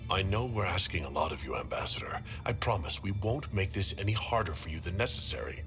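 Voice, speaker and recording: An actor playing a resolute, steady fantasy leader in a video game, speaking in a firm, measured manner. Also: male voice, low-pitched, over music. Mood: reassuring, resolute. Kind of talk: dramatic video game dialogue.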